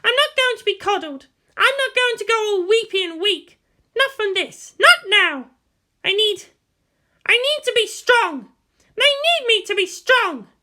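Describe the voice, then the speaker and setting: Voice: extremely high pitch, animated, a little slow, pushed and bright, pitched above the performer's own voice, full character voice. A narrator voicing a proud, anguished teenage girl pilot in an intense, insistent inner monologue for a story reading. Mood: desperate, determined.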